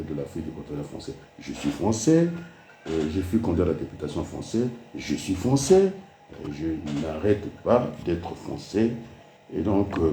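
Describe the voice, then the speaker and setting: Deep-voiced Congolese man with dark tone. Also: roomy sound, a little hiss or hum. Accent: Congolese French. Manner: emphatic, with many fillers, mixes French and Lingala. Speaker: politician. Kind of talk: political address.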